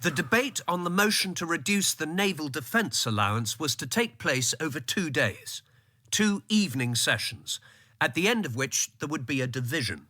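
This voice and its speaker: An actor playing an observant, sober political insider, narrating a radio drama in a measured, literary manner. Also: male voice, fairly high pitch, lively intonation, bright, forceful tone, dry recording. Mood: grave.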